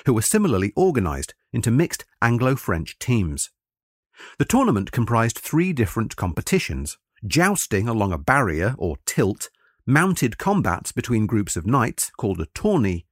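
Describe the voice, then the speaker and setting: Male audiobook narrator for history: mid-pitched, lively intonation, formal and measured read. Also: no room sound, studio quality.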